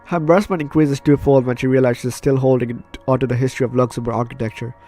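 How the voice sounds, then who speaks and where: A man's voice: mid-range voice, steady tone, fast talker, warm tone. Informal man reading game story over music. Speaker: gaming video host. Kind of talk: visual novel let's play, reading narration aloud with asides.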